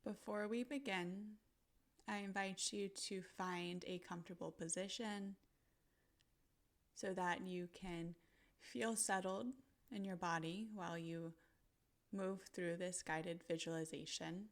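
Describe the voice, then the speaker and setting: A woman narrating a guided visualization in a gentle, soothing manner, pausing deliberately between short phrases. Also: on the low side, fairly even intonation.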